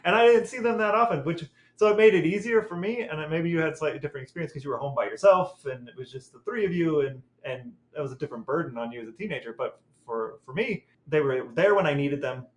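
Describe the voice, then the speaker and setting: A man's voice: on the high side, fast, hardly any pauses. A middle-aged man reminiscing casually about family, often repeating phrases for emphasis. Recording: echoey, roomy sound.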